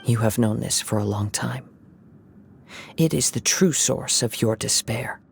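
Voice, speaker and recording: An actor voicing a calm, measured young male wandering samurai who speaks in a reflective way. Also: mid-range voice, vocal fry, slight background noise.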